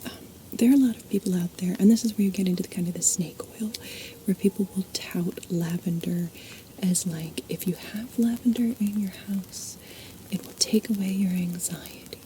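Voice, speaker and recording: Warm whispering woman, chatty ASMR host. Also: mid-range voice, moderate pace, slight background noise, rough recording. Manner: soft unvoiced whisper, chatty and digressive.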